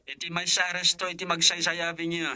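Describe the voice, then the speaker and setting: A man's voice: sits high, monotone, brisk, sharp tone, no echo. An actor playing a friendly, modest young professional man in a radio drama. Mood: cheerful. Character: friendly, modest young architect, respectful to elders.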